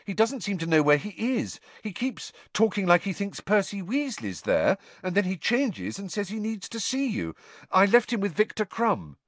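A man's voice: high-pitched, huge pitch swings, moderate pace, no echo, pitched above the performer's own voice, full character voice. A man voicing a panicked, breathless, insistent teenage boy wizard in an audiobook, urgent and stumbling over words. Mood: anxious, hurried.

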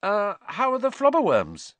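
A man's voice: sits high, huge pitch swings, normal speed, fairly bright tone, no room sound, pitched above the performer's own voice, exaggerated voice. A man voicing an awkward, cheerful teenage boy.

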